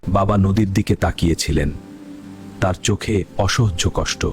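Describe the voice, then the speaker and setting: A man's voice: deep voice, a little slow. A man reading a scripted, dramatic story as narrator. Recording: loud background music.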